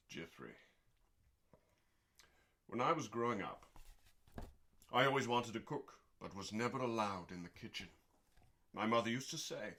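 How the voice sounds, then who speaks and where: A man reading aloud as host in a calm, dramatic bedtime style, with deliberate theatrical pauses between short phrases. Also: fairly low pitch. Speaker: host and reader. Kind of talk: dramatic, calming audiobook-style cookbook reading.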